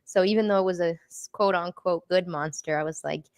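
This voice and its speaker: A woman with a slightly low, clear and smooth voice talks casually, as if sharing a personal story with a group. Her delivery is informal and conversational, and the sound is close and dry. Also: a little fast, no echo. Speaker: participant sharing personal experiences in a group discussion.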